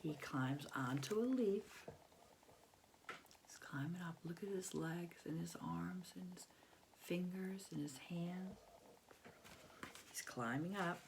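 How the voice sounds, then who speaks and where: A low-pitched American woman in her fifties reads to young children at an unhurried pace. She is expressive, pausing to explain simply and put questions to her listeners. It is a home video recorded in a bedroom, with clear noise behind the voice. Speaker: teacher reading a picture book to children. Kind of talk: children's read-aloud with asides to young listeners. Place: small bedroom at home, indoors.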